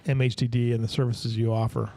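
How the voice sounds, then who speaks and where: A man hosts a local interview, his voice mellow and on the dark side. He rambles and restarts his sentences as he works toward his questions. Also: medium pitch, little pitch movement. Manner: rambling, halting, many restarts. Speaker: host. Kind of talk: casual interview.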